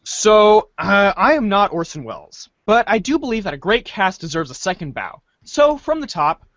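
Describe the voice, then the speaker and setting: A man narrates and hosts an amateur readers' theater with a lively, swinging pitch. The sound is dry and a little muffled. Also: moderate pace, dry recording. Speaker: host and narrator.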